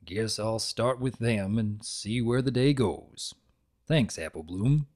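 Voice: medium pitch, slow pace, darkish tone. A narrator playing a plainspoken big farm stallion. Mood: mild, earnest. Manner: folksy, laconic, earnest.

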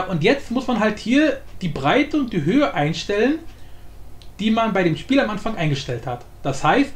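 A man hosting a casual software tutorial in a colloquial, mildly crude, joking way. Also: high voice. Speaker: tutorial host.

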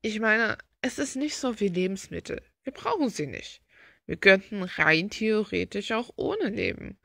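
Slow-paced woman with a creaky voice. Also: medium pitch, expressive, fairly bright tone, dry recording, studio quality. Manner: reflective, with rhetorical questions. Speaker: video creator talking while drawing. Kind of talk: casual rambling monologue, musing.

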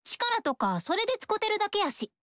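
An actress voicing a blunt, carefree young shrine maiden who talks with a Kansai accent. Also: female voice, very high voice, sing-song, a little fast, fairly bright tone, dry recording, studio quality, full character voice. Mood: annoyed. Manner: blunt, teasing, dismissive. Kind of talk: game voice acting.

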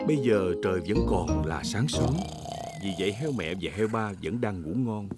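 A man narrating a children's cartoon as its storyteller, with a scripted, clear delivery. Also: lowish voice, lively intonation, normal speed, loud music behind the voice, natural voice. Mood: matter-of-fact.

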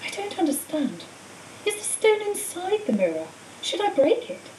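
A woman's voice: extremely high pitch, normal speed, voice pushed up, heavily acted. Woman voicing a cold, treacherous wizard teacher. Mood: frantic. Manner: calm, menacing, coolly matter-of-fact. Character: cold treacherous male wizard teacher, servant of a dark lord. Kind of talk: audiobook character voice. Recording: roomy echo, distant mic, faint hiss.

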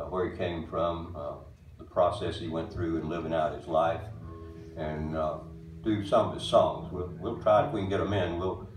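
Old man, eighties, very deep voice, folksy halting stage talk, roomy distant sound. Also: a little mellow, a little hiss or hum. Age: 80s. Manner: folksy, plainspoken, halting with many uhs. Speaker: bandleader introducing a tribute show. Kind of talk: casual stage talk to an audience. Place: small wooden-walled music barn with audience. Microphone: far camera recording a stage mic. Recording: roomy hall sound from far off.

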